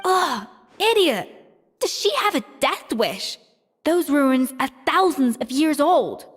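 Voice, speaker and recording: A blunt young woman in a video game. Her voice sits fairly high, and she talks in an impatient, sharp-tongued way, like someone easily exasperated. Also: very animated, takes it slowly, no echo, clean studio sound, full character voice. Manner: scolding, sighing, blunt.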